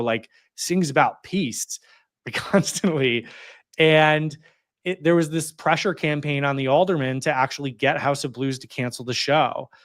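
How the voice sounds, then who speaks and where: A man's voice: a little high, a bit bright, no room sound. Chatty man in his thirties, dry close sound. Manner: chatty, heavy use of "like" and "you know", quick laughs. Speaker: interview guest, community organizer. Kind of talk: casual conversational storytelling.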